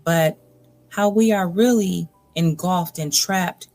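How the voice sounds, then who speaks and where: A woman reads a nonfiction book aloud as a storytime host. Her voice sits slightly low and moves at a very slow, deliberate pace. She sounds earnest and instructive, with faint music playing underneath. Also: a little mellow. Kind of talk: audiobook-like reading of nonfiction with earnest commentary. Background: music bed.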